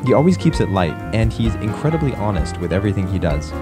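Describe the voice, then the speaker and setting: A man hosting a podcast, introducing a guest's videos in a relaxed way with frequent pauses. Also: lowish voice, music in the background. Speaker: podcast host.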